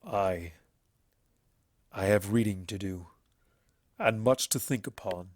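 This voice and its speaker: A man's voice: lowish voice, no room sound. Man playing a brooding, guilt-ridden stallion. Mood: shaken. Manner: halting, heavy, brooding. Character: troubled, guilt-ridden old stallion alicorn, bitter and weary.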